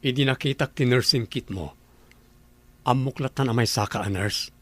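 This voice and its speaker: A man's voice: mid-range voice, normal speed, lightly acted. A man voicing a polite ranch owner who hires a nurse for his ranch. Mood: courteous. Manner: calm, courteous. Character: courteous wealthy ranch owner.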